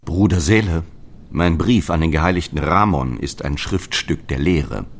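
An actor playing a stern, zealous apostle who preaches and commands in solemn scriptural language for an audio drama. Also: male voice, a bass voice, normal speed, a little hiss or hum. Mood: preaching, instructing. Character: Paulus, stern zealous male apostle, guardian of the faith.

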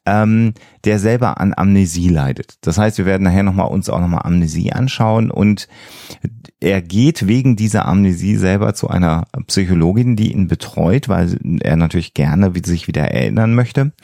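A man's voice: low voice, normal speed, creaky, dark tone, no echo, clean studio sound. A man co-hosting a podcast, explaining things in a conversational way. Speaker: podcast co-host.

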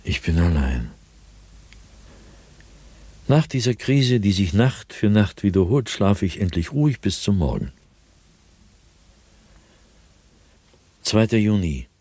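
Husky, low-voiced, haunted diarist. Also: male voice, very animated, no echo, exaggerated voice. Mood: weary, despondent. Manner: intense, brooding, deliberate, with sudden swells and hushed drops. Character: anguished, fearful, solitary male diarist haunted by nightly dread. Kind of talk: German radio drama monologue, diary read dramatically.

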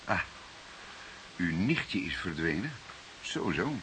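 An actor with a Dutch accent playing a seasoned, fatherly police detective who speaks deliberately and ponderously in a radio play. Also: deep voice, big pitch swings, fairly bright tone, exaggerated voice. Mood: probing. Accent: Dutch, from the Netherlands. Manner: deliberate, repeats others' words questioningly. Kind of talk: radio drama acting. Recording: noisy radio play with clicks.

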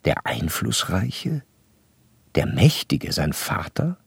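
An actor playing an educated, observant narrator in a literary radio play, speaking in a measured, precise and wry way. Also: male voice, low-pitched, wildly swooping pitch, gravelly, husky, no echo, heavily acted. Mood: astonished. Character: educated, curious male narrator, observant and wryly detached. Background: violin music.

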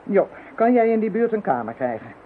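A woman's voice: medium pitch, very animated, a little fast, lightly acted. A woman playing a fierce, determined psychic in an audio drama, speaking emphatically. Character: fierce, determined woman leading psychic fight against villains. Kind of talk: Dutch audio drama acting. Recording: thin phone-like sound, faint noise.

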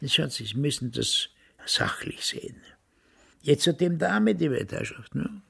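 An actor playing a stubborn, self-important very old man in a radio drama, with a husky voice and a rambling, repetitive way of talking. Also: on the high side, animated, unhurried, a bit bright, heavily acted. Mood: stubbornly insistent. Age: older. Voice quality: husky, gravelly. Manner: pompous, rambling, repeats phrases.

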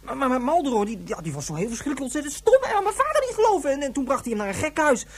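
A woman playing an excitable, boastful young boy in a radio drama, stammering and piling up childish exaggerations. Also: high voice, racing. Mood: proud, indignant.

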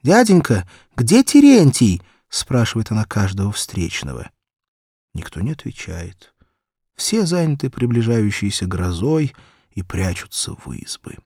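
Expressive, slow-paced male storyteller. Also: lowish voice, husky, dry recording, clean studio sound. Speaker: audiobook narrator. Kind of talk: expressive scripted narration of a children's story.

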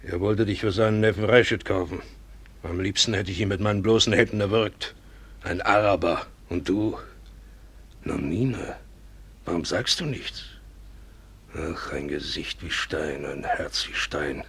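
A man's voice: sits low, measured pace, vocal fry, a bit bright, breathy edge, exaggerated voice. A man playing a proud, protective and bitter colonial father in a radio drama, emphatic and brooding. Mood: bitter, worried. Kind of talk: literary radio drama acting.

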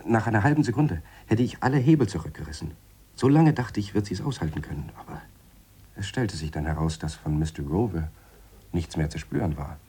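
Radio drama reflective scientist: very low, mellow, slightly husky, measured and wryly lecturing. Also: male voice, expressive, heavily acted. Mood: matter-of-fact. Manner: measured, dry, explanatory. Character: Harry, deliberate, wry male scientist explaining his theories.

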